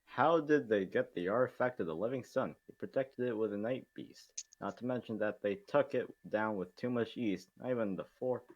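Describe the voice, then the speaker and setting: A man's voice: lowish voice, normal speed, hardly any pauses, muffled recording. A man reading lines and bantering casually in an amateur table read. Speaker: table read cast member.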